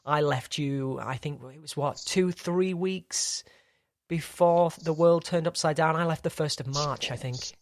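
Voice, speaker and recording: Chatty host with a dry sound. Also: male voice, a little high, a little slow, no room sound. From Britain. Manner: friendly, chatty, with frequent restarts. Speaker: podcast host. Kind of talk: casual podcast interview.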